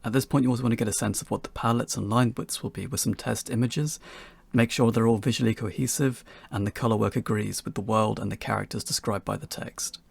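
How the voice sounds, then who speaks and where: A man presents a scripted lesson for beginners. His voice is husky and full of creak, and it is captured very dry and close, with no room sound. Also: fairly low pitch, steady tone, fast, dry recording. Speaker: presenter of a beginners' tutorial talk. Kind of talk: scripted instructional narration.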